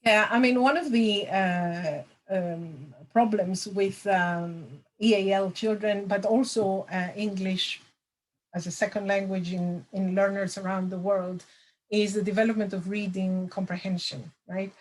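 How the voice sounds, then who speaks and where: A middle-aged woman, a lecturer answering audience questions over a video call, thoughtful with many pauses and fillers. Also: mid-range voice, fairly even intonation, poor audio. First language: Greek. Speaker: language assessment lecturer.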